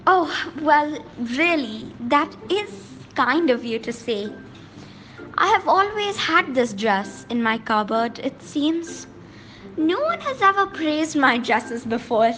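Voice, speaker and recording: A woman acting a haughty, genteel countess in a radio play, speaking in a formal, theatrical, halting way. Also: high voice, big pitch swings, fairly bright tone, faint music behind, full character voice, poor audio. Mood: gracious.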